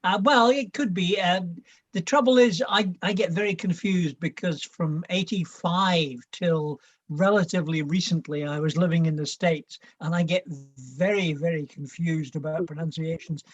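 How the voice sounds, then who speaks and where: A man's voice: fairly high pitch, normal speed, muffled recording. An older man with a Scottish accent, chatting and telling stories with a ready laugh. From Scotland. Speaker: reading group member. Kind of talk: casual chat.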